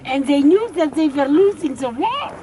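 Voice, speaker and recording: A woman's voice: high voice, expressive, moderate pace, warm tone, slight background noise. An elderly woman in her eighties from Hungary, outdoors, talking through events and filling in others' words. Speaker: survivor who also questions. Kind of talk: conversational testimony. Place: outdoors at a memorial site.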